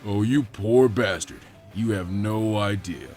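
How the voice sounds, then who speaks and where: A man acts out a stern, gruff proctor from an adventure story. He speaks in clipped, commanding lines with a darkly amused edge, over faint music. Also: on the low side, takes it slowly, darkish tone, voice pushed down.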